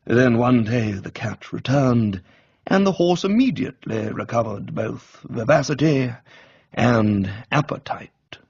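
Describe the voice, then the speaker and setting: An erudite, deferential valet voiced by a man in a radio comedy. He is measured, formal and precise, with a husky, creaky voice in a slightly dull recording. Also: mid-range voice, animated, darkish tone, dry recording, exaggerated voice. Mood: calmly explanatory.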